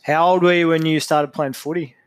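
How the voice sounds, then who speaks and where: A man's voice: fairly high pitch, steady tone, fairly quick, no room sound. A man hosting an interview, asking questions and giving frequent short affirmations. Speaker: podcast host. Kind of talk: casual interview.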